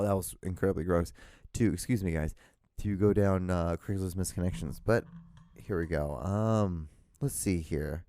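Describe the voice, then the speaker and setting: A man hosting a solo podcast, rambling loudly with many fillers and restarts into a handheld digital recorder. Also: deep voice, no room sound, clean studio sound. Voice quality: loud voice. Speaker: podcast host.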